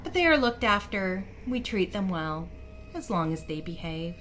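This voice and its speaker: A woman voicing a pragmatic, unsympathetic spa proprietor in a fantasy story, brisk and unfeeling. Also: mid-range voice, sing-song, fairly bright tone, a little hiss or hum. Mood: cold.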